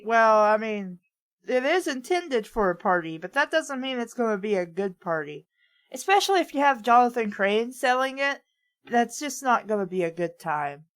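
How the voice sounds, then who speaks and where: A woman's voice: on the high side, big pitch swings, moderate pace. A woman co-hosting a casual group read-along, reading fan fiction aloud and joking about it.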